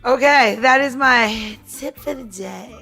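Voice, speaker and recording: A woman's voice: a little high, slow pace, vocal fry, bright, forceful tone, faint music behind. A woman casually walking listeners through a recipe step by step, chatty and instructive. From United States. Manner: chatty, instructive, laughs easily. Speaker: cocktail tip presenter. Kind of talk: casual how-to demo.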